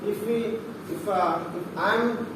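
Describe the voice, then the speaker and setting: A young man with a Telugu-Indian accent gives a halting seminar talk, full of restarts and fillers. His voice sits high for a man. He is picked up from far away in a very echoey, noisy room. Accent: Indian English, Telugu speaker. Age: young adult. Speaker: philosophy student presenting at a seminar.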